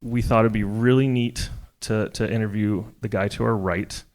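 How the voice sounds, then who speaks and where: An American man co-hosting a live podcast interview, chatty and joking with quick asides, in a jazz club. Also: on the low side, steady tone, background noise. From United States. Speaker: podcast co-host.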